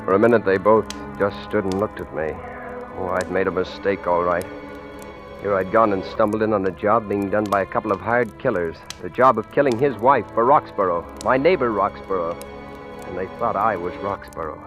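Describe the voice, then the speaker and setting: A man's voice: fairly low pitch, natural voice. An actor playing a frightened, stammering ordinary man caught among killers, in a 1940s radio suspense drama. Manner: hesitant, stammering. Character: frightened ordinary husband mistaken for a killers' client. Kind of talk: 1940s radio acting, first-person suspense narration. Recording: old-time radio recording with music.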